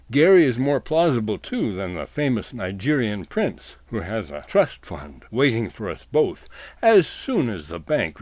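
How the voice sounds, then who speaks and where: A man's voice: lowish voice, darkish tone, no echo. A man narrating a scripted humorous essay in a wry, literate storytelling manner.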